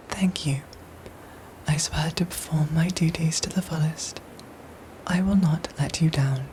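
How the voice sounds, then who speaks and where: A woman voicing a gentle, dutiful android soldier in an ASMR roleplay, with soft breathy whispers and formal, measured phrasing. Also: low voice, slight background noise, low-quality recording. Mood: devoted, calm. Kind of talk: ASMR roleplay audio drama.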